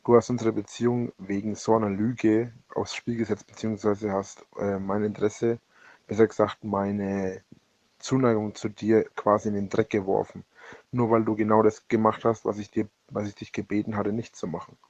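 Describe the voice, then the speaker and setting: A man speaking a rambling personal voicemail, halting and often correcting himself, with a husky voice. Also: lowish voice, little pitch movement, a little mellow, dry recording. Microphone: voice message recorded on a phone. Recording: dull lo-fi leaked voicemail recording.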